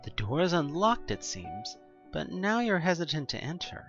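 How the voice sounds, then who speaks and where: A narrator giving a theatrical, expressive reading of a scripted horror story told in the second person. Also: medium pitch, normal speed, dull audio, faint music behind. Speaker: audio play narrator.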